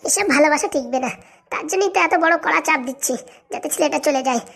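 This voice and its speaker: A performer voicing a scheming, sharp-tongued mother in a comedy cartoon, pushy and scornful. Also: sits high, fast talker, creaky.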